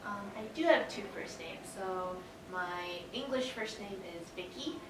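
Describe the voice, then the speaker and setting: A woman's voice: medium pitch, a little slow. Echoey, distant young woman. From Canada. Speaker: interviewee. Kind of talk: casual interview answers. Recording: echoey, distant microphone, noisy room.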